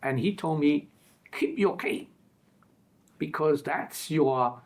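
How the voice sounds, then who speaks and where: A man's voice: fairly high pitch, takes it slowly. A man from Hong Kong with a Hong Kong English accent, earnestly telling a personal story in an interview. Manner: earnest, repeats words as he tells stories. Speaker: interview co-guest.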